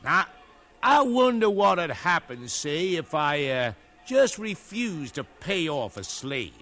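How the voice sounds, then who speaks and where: An actor playing a shifty, bullying carnival barker in 1950s radio drama, with sing-song hawking patter. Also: male voice, on the high side, sing-song, slow pace, a bit bright, heavily acted. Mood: sly threat. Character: shifty bullying male carnival barker, wheedling then cowed.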